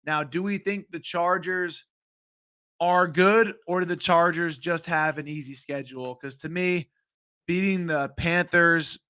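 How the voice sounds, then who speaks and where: Late 20s dude, kinda hoarse, bright forceful voice, rambles thru nfl picks, dead dry room. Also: male voice, on the high side, fairly even intonation, a little slow, dry recording. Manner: casual, rambling, many ums. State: slightly hoarse voice. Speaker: sports betting show host. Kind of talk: live-streamed betting picks talk.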